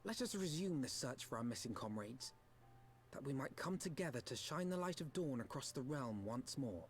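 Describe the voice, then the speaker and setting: A man's voice: mid-range voice, animated, normal speed, faint music behind, lightly acted. Young fantasy-game commander male actor: mid-range voice, earnest, proud, formal and solemn. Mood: regretful, resolute. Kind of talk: fantasy game acting in archaic formal English.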